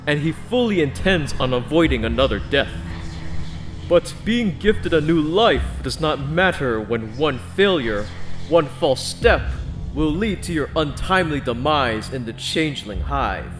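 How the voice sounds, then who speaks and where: Man narrating with lively, swooping pitch. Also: measured pace. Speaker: audiobook narrator and channel host. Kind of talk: scripted narration. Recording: music behind the voice. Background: instrumental music.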